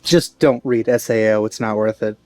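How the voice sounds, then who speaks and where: A man's voice: fairly low pitch, normal speed, a little mellow. A man giving casual let's play commentary on a video game. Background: faint game music.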